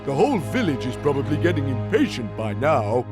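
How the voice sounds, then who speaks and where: An actor playing a big, hearty, simple Gaulish warrior in a cartoon game, blunt and boyishly earnest. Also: male voice, medium pitch, sing-song, brisk, music in the background, natural voice. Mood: easygoing.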